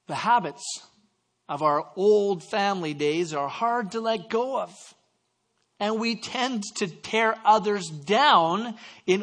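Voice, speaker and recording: High-voiced preacher telling stories. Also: male voice, fairly bright tone. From Canada. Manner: storytelling with self-deprecating asides and repeated restarts. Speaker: pastor. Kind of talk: sermon.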